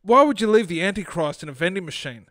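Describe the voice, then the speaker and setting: A man's voice: high voice, lively intonation, fast, fairly bright tone, no room sound, studio quality. A man voicing a weary, hesitant, apologetic male traveller in a comic story. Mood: apologetic, baffled. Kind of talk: comic short-story reading.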